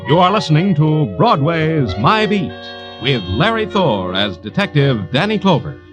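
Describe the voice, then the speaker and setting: A man's voice: on the high side, big pitch swings, a little slow. An American man announcing radio programmes in a polished, emphatic 1940s promotional style. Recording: old 1940s radio broadcast, music behind.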